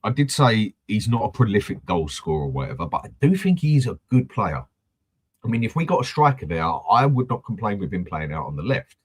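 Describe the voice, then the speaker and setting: A man's voice: lowish voice, very animated, fairly quick, a little mellow, breathy edge. A man hosting a casual football fan chat, blunt and swearing freely. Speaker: host of a football fan channel.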